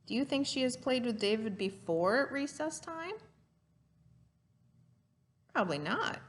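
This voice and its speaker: A woman reading a story aloud to children like a teacher, adding explanations and questions for the listener. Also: mid-range voice. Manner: didactic, asks the listener questions.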